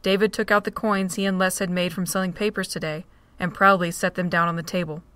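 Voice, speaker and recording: Woman narrator, fairly low voice, steady storytelling read, dry close sound. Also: fairly quick, clear, resonant tone, dry recording. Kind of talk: scripted narration.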